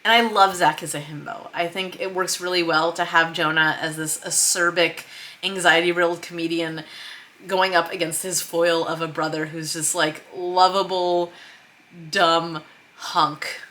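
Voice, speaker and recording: A woman with a slightly low, bright voice hosts a casual video log about writing. She explains her craft in an animated way, speaking forcefully and dropping into read-out script lines as examples. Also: measured pace. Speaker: filmmaker and screenwriter hosting a video log.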